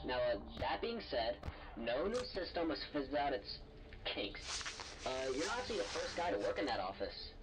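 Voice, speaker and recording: A man's voice: medium pitch, big pitch swings, normal speed, close to an everyday voice. A man playing an affable but evasive company phone guide in a horror game, chatty, hesitant and falsely reassuring. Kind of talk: recorded orientation call, game acting. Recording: dull, noisy phone message sound.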